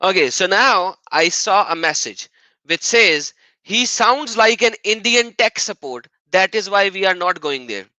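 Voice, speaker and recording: A man's voice: high-pitched, lively intonation, measured pace, pushed and bright, dry recording, low-quality recording. A man hosting an argumentative live stream on religion, often mocking and repeating phrases for emphasis.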